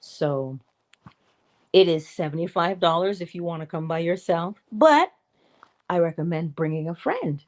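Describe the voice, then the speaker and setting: A woman hosting a casual morning livestream, chatty and playful, laughing often and breaking into sudden high exclamations. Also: lively intonation, a little slow. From Barbados.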